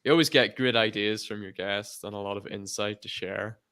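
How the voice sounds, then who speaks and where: Young man in his 20s, smooth clear voice, somewhat low, upbeat host, welcoming. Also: fairly even intonation, moderate pace, sharp tone. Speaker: webinar host. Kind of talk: hosting an interview webinar.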